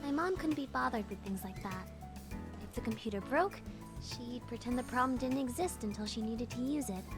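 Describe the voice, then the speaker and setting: High-voiced, composed young engineer Valerie. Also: female voice, expressive, moderate pace, over music. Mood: matter-of-fact, reflective. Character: Valerie, confident young female engineer, aloof, calm. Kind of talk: visual novel game voice acting.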